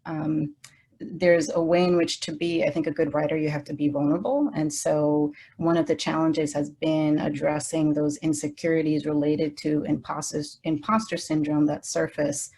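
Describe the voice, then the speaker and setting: A woman's voice: low voice, level tone, normal speed, dark tone. A woman whose first language is Spanish, speaking in a reflective, hesitant way with many fillers during a roundtable discussion over a video call. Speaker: faculty member.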